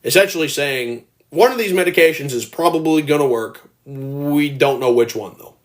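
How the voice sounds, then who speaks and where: An earnest young man in his early twenties hosts a casual livestream from home and speaks into his phone. He rambles a little and reads viewer comments aloud, and his voice turns gravelly from time to time. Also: on the high side, moderate pace. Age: early 20s. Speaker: livestream host.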